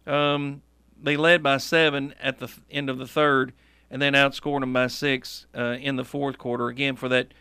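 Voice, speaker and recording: Bright, crisp man recapping scores. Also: mid-range voice, flat delivery, normal speed, no room sound. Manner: recites scores, corrects himself often. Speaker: sports radio co-host. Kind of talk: game recap commentary. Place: radio studio. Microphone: studio microphone.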